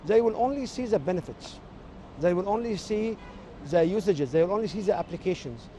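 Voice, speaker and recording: A man with an Egyptian accent and native Arabic, giving measured, explanatory interview answers as a technology expert. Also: sits high, lively intonation, dark tone, a little hiss or hum.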